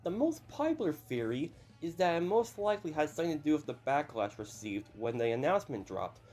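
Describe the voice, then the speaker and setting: A man's voice: on the high side, very animated, a little fast, a little mellow, soft background music. Youthful-sounding young man chatting. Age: early 20s. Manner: chatty, apologetic asides. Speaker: YouTuber host. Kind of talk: casual video commentary.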